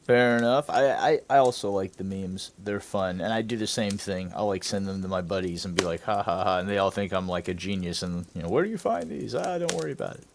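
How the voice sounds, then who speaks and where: A man's voice: low-pitched, fairly quick, vocal fry, hardly any pauses, slight background noise. A man, co-hosting a casual chat and telling jokey, rambling stories. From Southern United States. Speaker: podcast co-host.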